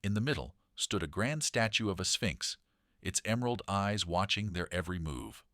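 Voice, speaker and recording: A man reading a children's story aloud in an expressive, dramatic storytelling manner. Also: deep voice, moderate pace, no echo, studio quality. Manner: dramatic, animated delivery.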